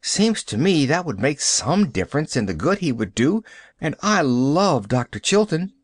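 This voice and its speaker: A man's voice: fairly high pitch, big pitch swings, moderate pace, husky, no echo, studio quality. A man voicing an eager, cheerful young girl who pleads breathlessly in a storybook reading. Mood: earnest.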